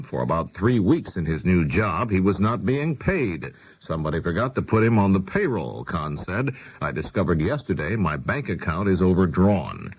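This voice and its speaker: An American man anchors a scripted radio newscast in a low, husky voice. His intonation moves freely as he reads each item. The sound is dull, vintage AM radio. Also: no echo. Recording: dull 1970s AM radio broadcast.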